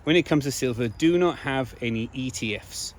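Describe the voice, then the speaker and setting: Slightly hoarse man vlogging outdoors. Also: medium pitch, moderate pace. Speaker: video blogger. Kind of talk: casual monologue to viewers.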